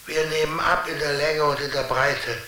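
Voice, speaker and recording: Karl, a bright-voiced television schemer. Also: male voice, on the high side, fairly even intonation, normal speed. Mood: assured, confiding. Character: Karl, scheming, kindly male being from inside a television. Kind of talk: German radio drama acting. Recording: roomy sound with faint hiss.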